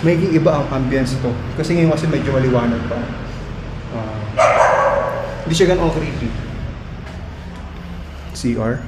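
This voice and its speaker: A man's voice: medium pitch, moderate pace, pauses often, very noisy. Chatty man vlogging with a handheld camera. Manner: chatty, excitable, trails off into hushed remarks in dark rooms. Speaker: vlog host exploring abandoned places. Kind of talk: casual walking vlog. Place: abandoned concrete building, indoors and outdoors. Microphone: handheld action camera at arm's length.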